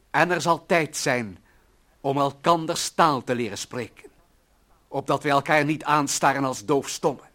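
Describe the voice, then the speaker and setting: An actor playing an earnest, idealistic employee who defends humanity in solemn, bombastic radio-play oratory. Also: male voice, a little high, fairly bright tone. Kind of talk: declamatory older radio-play acting.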